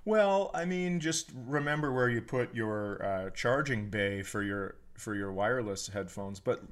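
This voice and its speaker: A man's voice: medium pitch, unhurried. An American man hosting a comedy podcast in a casual, joking, sometimes profane way.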